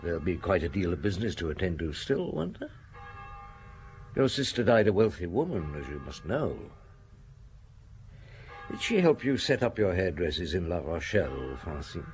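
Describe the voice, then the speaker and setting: An actor playing a shrewd, unhurried middle-aged male police inspector in a radio drama, questioning in a measured, probing way. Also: deep voice, sing-song, a little mellow, soft background music.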